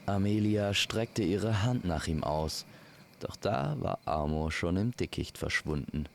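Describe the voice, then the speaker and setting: Guy telling a fairy tale, very low creaky voice, crickets faintly behind. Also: unhurried, a little hiss or hum. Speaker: storyteller. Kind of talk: scripted fairy-tale narration.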